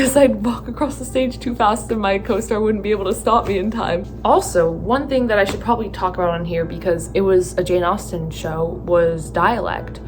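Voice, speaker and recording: A tired young woman from California with a Californian accent, talking slowly and thoughtfully about her stage work, with many fillers and restarts. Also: medium pitch, background noise. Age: young adult. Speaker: theatre student vlogger. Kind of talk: casual reflective talk to camera.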